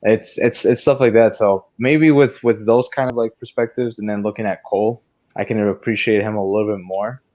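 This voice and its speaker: Low-pitched man chatting casually about films. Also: fairly even intonation, fairly quick, a little mellow. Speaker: podcast co-host. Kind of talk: casual film review discussion.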